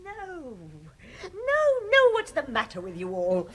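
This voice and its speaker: A woman's voice: very high voice, wildly swooping pitch, slow, heavily acted. A woman acting in a radio drama as a defiant, outraged archaeologist's daughter who scorns treasure thieves. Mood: insistent, scornful.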